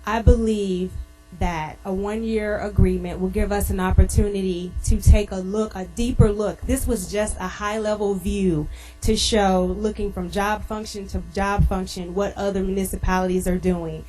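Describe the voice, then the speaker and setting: A woman representing a city administration, giving formal, measured, bureaucratic explanations at a public meeting. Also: mid-range voice, fairly even intonation, mellow, soft background music, rough recording.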